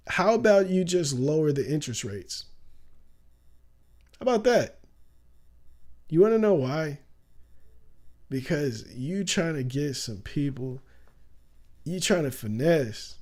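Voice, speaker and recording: Laid-back slangy man, mellow voice. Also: on the high side. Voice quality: raspy at times. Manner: loose, slangy, laughs often, says "bro" a lot. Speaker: streamer. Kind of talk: casual livestream commentary.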